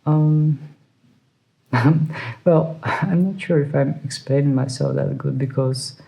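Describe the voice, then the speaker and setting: A tarot reader who is not a native English speaker, hesitantly searching for words with many fillers and soft laughs. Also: medium pitch, fairly even intonation, takes it slowly, gravelly, low-quality recording. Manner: soft laughs while talking. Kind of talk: pick-a-card tarot reading.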